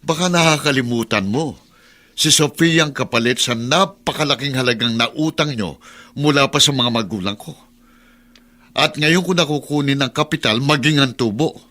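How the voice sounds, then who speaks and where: Domineering villain, Filipino radio drama, smug, pushy, sharp forceful tone. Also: male voice, mid-range voice, normal speed. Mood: menacing. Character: domineering, menacing creditor claiming a bride.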